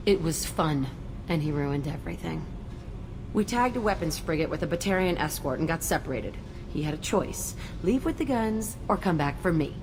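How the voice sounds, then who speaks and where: A woman's voice: low voice, expressive, moderate pace. An actress playing a tough, guarded young female outlaw in a video game, blunt, bitter and defensive. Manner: blunt, foul-mouthed, defensive. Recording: loud background noise, game ambience.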